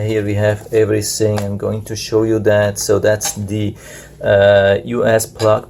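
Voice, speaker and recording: A man's voice: fairly low pitch, vocal fry, a little mellow, slight background noise. A man whose first language is German, casually reviewing a gadget he unboxes at a table, hesitant, with many filler sounds. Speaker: product reviewer. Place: indoors at a table.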